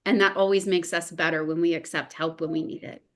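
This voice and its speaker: A woman's voice: on the low side, steady tone, fairly quick, slight background noise. A woman speaking as a podcast guest, earnest and encouraging, in a reflective discussion. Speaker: podcast guest, improv performer. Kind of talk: casual discussion.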